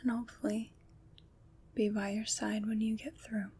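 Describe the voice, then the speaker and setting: A woman playing a kind, soothing witch in a gentle, breathy ASMR whisper, pausing between phrases to reassure. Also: medium pitch, level tone. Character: kind, soothing witch comforting someone troubled by nightmares. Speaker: ASMR performer. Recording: clear background noise.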